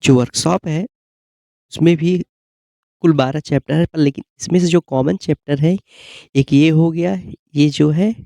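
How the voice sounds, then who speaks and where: A man gives an informal explanatory exam-prep lesson, often pausing to check that listeners follow. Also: on the high side, vocal fry, dark tone, no echo. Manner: repeated check-in tags like "okay?".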